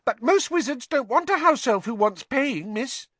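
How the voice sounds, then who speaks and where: A tiny male house elf with a squeaky, shrill voice pitched far above normal speech, eager and servile, bubbling over as he talks about himself in the third person. The voice leaps up and down and is read close to the mic in a dry, dead-sounding space. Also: lively intonation, moderate pace, a bit bright, no room sound. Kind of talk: audiobook character voice.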